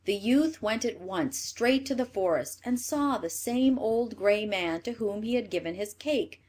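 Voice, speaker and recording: A woman reading a scripted fairy tale as a storyteller, in an expressive manner. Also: mid-range voice, a little slow.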